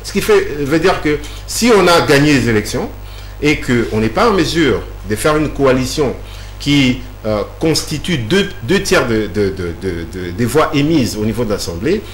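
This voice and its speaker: A man's voice: medium pitch, sing-song, normal speed. A man answering interview questions at length, explaining patiently with many fillers and restarts. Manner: hesitant, many fillers and repeated words. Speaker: guest. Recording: echoey room with faint hum.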